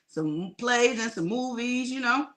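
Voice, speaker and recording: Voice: medium pitch, expressive, unhurried, a bit bright. A podcast host interviewing guests in bubbly casual chat. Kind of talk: casual video-call chat.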